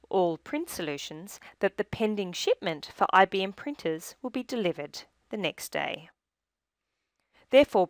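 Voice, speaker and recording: A woman reading scripted software tutorial narration in a measured, instructional manner, recorded as a close-miked voice-over. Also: mid-range voice, dry recording.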